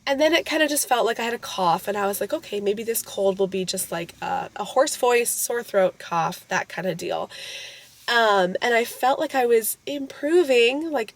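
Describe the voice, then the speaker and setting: A woman in her thirties, hoarse from a cold, chatting casually and rambling as she vlogs her day into a handheld camera. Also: medium pitch. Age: 30s. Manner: chatty, rambling, self-correcting. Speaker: vlogger and mother. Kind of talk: casual vlog chat.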